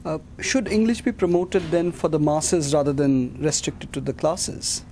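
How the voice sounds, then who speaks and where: An interviewer asking questions with halting, hesitant openings. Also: mid-range voice, expressive, warm tone, a little hiss or hum.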